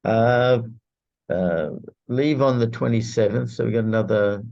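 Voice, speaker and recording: A man whose first language is Greek, talking in a casual group video call and pausing often with fillers. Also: medium pitch, creaky, darkish tone, highs cut off. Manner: halting, lots of filler pauses. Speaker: prayer group member. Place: indoor room shared with another participant.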